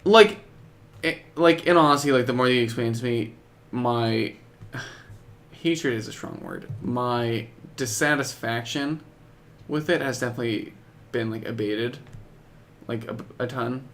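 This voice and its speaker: A young man with a medium-pitched voice speaks slowly as a podcast co-host. His casual talk comes in hesitant, halting pieces with a skeptical edge. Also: fairly bright tone, slight background noise. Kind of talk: casual chat about video games.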